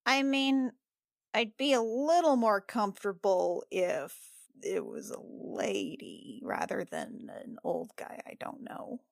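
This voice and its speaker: A woman at a tabletop game talks in a casual, informal way, often halting and repeating herself. Her mid-pitched voice is recorded dry, with no room sound. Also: expressive, gravelly, dry recording. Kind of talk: casual in-character and table chat.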